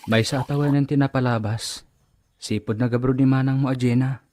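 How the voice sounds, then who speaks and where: A man's voice: medium pitch, steady tone, a little fast, darkish tone, slight background noise, close to an everyday voice. A man acting an earnest, serious family man in an Ilocano radio drama. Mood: earnest, wooing. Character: men of a family drama: stern father, earnest suitor.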